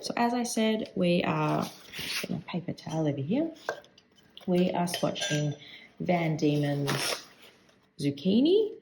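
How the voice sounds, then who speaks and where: A woman with a croaky voice today, thinking aloud in a chatty, rambling review while she swatches ink at her desk. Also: on the low side, very animated, slow pace, long pauses, background noise. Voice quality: vocal fry. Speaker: stationery vlogger.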